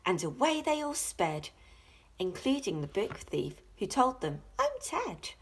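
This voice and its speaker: A woman teacher reading a rhyming children's picture book in a dramatic, deliberate, expressive way. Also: lowish voice, breathy edge.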